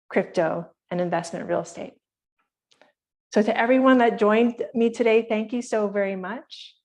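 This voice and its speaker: A woman's voice: medium pitch, darkish tone. A woman from Hawaii presenting her project to an online community meeting, speaking earnestly and directly to her listeners.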